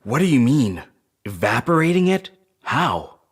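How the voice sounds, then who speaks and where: A man's voice: mid-range voice, very animated, a little slow, husky. A man playing a skeptical, cautious spaceship crew officer in an audio drama, questioning and blunt.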